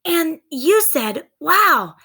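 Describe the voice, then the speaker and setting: A woman voicing a bold, friendly, excitable young girl in a children's storybook, eager and exclamatory. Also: extremely high pitch, extremely slow, voice pushed up, full character voice.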